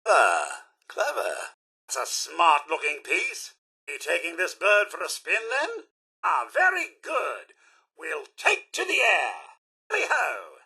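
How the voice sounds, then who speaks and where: An actor playing a fussy, chipper robot butler in a video game, with a British accent and a polite, pompous, theatrical manner. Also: male voice, sits high, wildly swooping pitch, takes it slowly, pushed and bright, breathy edge, heavily acted. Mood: chipper, courteous. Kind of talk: video game character voice lines.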